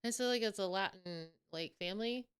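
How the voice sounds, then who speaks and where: A young woman co-hosting a podcast in casual chat, with an expressive, flowing way of talking and easy giggles. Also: mid-range voice, fairly quick, clear, resonant tone. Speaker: podcast co-host.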